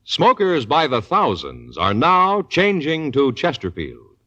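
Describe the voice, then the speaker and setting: Male vintage radio ad announcer, mid pitch, hugely animated intonation, emphatic, deliberate, dull band-limited recording. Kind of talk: scripted 1950s radio advertisement.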